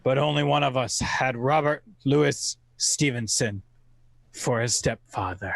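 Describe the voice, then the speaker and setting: A man giving theatrical scripted narration in an old-time radio manner, oratorical and wry, with deliberate phrasing. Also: mid-range voice, gravelly, no echo.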